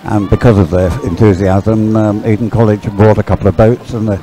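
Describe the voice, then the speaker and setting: A man's voice: lowish voice, flat delivery, a little fast, warm tone. A man giving chatty, unscripted live sports commentary, often hesitant and thinking aloud as he goes.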